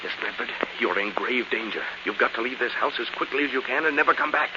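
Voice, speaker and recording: A man's voice: medium pitch, quick pace, lightly acted. An actor playing an earnest, protective man with an urgent, polite and clipped delivery in 1940s radio thriller style. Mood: hushed, urgent. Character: earnest, protective man warning a young woman of danger. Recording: dull, noisy old 1940s radio recording.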